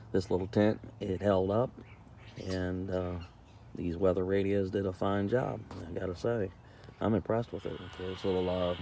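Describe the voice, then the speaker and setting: A man giving a casual, plain-spoken product review as a camping vlogger, halting and repeating himself for emphasis. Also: deep voice. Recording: steady background noise.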